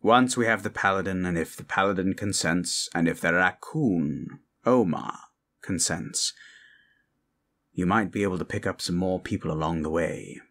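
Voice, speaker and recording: A man voicing a solemn undead mentor in a fantasy ASMR roleplay, speaking gravely and deliberately. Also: deep voice, a bit bright, pitched below the performer's own voice. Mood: grave, measured.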